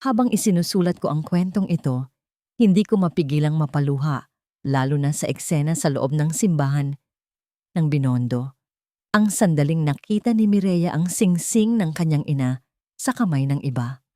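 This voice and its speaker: A woman's voice: deep voice, lively intonation, moderate pace, warm tone, no room sound, studio quality. A woman narrating a scripted sentimental story as a storytelling host, in an earnest and formal manner.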